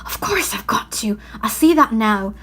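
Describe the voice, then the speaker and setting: A woman's voice: on the high side, very animated, moderate pace, a bit bright, exaggerated voice. A woman voicing an anxious, remorseful male faun from a storybook, pleading and confessing dramatically. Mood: resolved, urgent.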